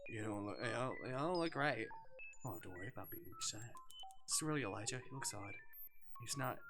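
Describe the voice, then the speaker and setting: A man's voice: on the low side, animated, a little fast. A man live streaming a visual novel, reading it aloud and reacting as he goes, with music behind him.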